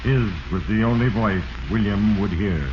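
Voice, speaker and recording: A man's voice: fairly low pitch, moderate pace, natural voice. Guy playing ominous fate narrator, heavy and formal, 1940s radio drama, echo on it, muffled old recording. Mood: ominous, solemn. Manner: portentous, solemn, formal. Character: sinister, heavy-handed male Fate narrating a man's doom. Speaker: narrator host. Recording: echoing, dull, noisy 1940s radio recording.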